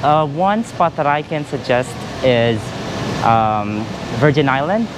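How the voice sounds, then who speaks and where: A young man answering street interview questions hesitantly, with many pauses. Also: medium pitch, lively intonation. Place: outdoor balcony in a city. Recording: traffic noise outdoors.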